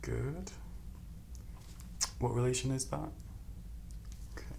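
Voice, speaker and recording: A soft-spoken man with heavy vocal fry plays a reassuring doctor for ASMR. His delivery is hushed and unhurried, sometimes close to a whisper. There is clear noise behind the close-miked voice. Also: mid-range voice. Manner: gentle, reassuring, unhurried bedside talk. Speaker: ASMR performer playing a doctor. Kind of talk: softly spoken ASMR medical roleplay.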